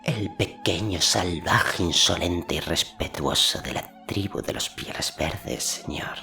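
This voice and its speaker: A man voicing a sly, servile sorceress in an audiobook, hushed and breathy, insinuating with deference. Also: low voice, animated, slow, faint music behind, full character voice. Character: sly, servile female sorceress whispering poisonous counsel.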